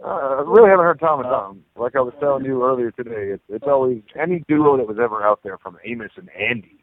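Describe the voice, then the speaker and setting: A man's voice: medium pitch, fast. A man in his late thirties, an easygoing comedian chatting as a guest on a talk show. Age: 30s. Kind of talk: casual chat. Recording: tinny phone line.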